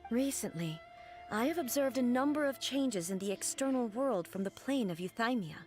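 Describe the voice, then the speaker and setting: A woman voicing a solemn, regal immortal goddess in a video game, speaking in a formal, measured and stately way. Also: fairly high pitch, expressive, a little mellow, soft background music, lightly acted. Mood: solemn, composed.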